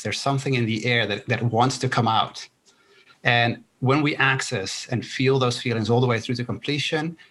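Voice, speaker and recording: A man with Spanish as his first language, raised in the Netherlands by Chilean parents, talks as a guest coach. His voice sits at an ordinary middle pitch. He explains ideas step by step in a calm, reflective manner. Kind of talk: interview conversation.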